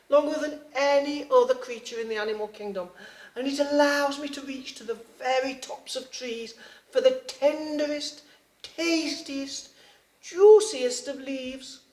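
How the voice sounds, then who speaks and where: A woman's voice: high-pitched, measured pace, a little mellow, voice pushed up. A woman voicing a grand, majestic giraffe in a read-aloud story, drawing out her words. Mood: grand, savoring. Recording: echoey room.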